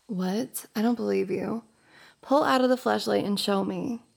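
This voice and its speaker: A woman playing a teasing, dominant young roommate in an intimate audio roleplay, speaking slowly and often in a whisper. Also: medium pitch. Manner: slow, husky, often whispering.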